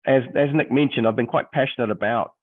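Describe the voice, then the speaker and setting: A middle-aged New Zealand man with a croaky voice from illness, a history teacher giving a webinar presentation in a hesitant way, with many ums and restarts. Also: mid-range voice, fast talker, no echo. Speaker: history teacher and textbook author. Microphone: video call. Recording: dry, phone-like video call audio.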